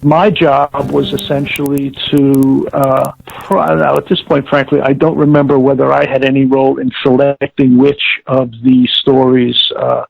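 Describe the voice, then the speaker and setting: An older man being interviewed, recalling past work in a halting, deliberate, wordy way and often correcting himself. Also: mid-range voice, flat delivery, vocal fry, no echo. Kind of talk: reminiscing interview. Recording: tinny narrowband call, like old radio.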